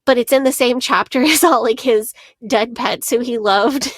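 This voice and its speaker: A woman's voice: on the high side, moderate pace, no echo, studio quality. Earnest woman podcast co-host. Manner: earnest, analytical, laughs between points. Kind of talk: casual podcast discussion.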